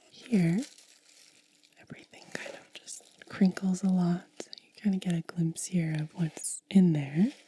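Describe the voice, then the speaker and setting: A woman whispers very softly and breathily, right up close in a dry recording. She takes her time with an ASMR unboxing, stretching words out at an extremely slow pace. Her tone is very mellow and relaxed, with a gentle manner throughout. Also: on the low side, husky, no echo. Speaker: ASMR host. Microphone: close microphone.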